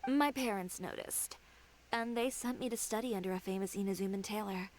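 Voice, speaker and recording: A woman's voice: mid-range voice, fairly quick, a little mellow, slight background noise, close to an everyday voice. An actress playing an assertive, poised young female fashion designer in a video game, telling things in a self-assured way. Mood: reminiscing.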